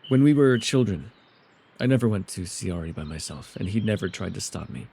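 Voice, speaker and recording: A man playing a mysterious, soft-spoken elf with a gently teasing, secretive way, in an immersive ASMR roleplay with hesitant, tender asides. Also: sits low, expressive, a little fast, vocal fry, breathy edge, heavily acted. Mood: somber, confessional.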